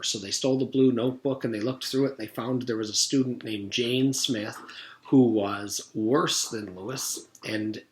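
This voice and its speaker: A man's voice: mid-range voice, fairly bright tone. A man in his forties, a teacher, reading a children's story aloud with casual recaps. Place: room at home. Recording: roomy echo, background noise.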